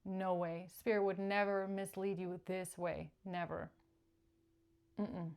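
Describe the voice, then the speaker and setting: A woman hosting a tarot reading, speaking spontaneously to viewers and repeating short phrases for emphasis between pauses. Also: fairly low pitch, little pitch movement, gravelly, a little mellow, clean tone. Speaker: tarot reader and channeller, show host.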